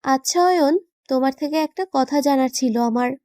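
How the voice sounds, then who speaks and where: A woman's voice: high voice, moderate pace, darkish tone, dry recording, natural voice. A woman voicing an affectionate, playful young wife in a romantic audio drama, doting and teasing. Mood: curious, earnest.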